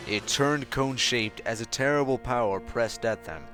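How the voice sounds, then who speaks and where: A man's voice: medium pitch, normal speed, fairly bright tone. Man narrating a story over music. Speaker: fan fiction reader. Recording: music behind the voice. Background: background music.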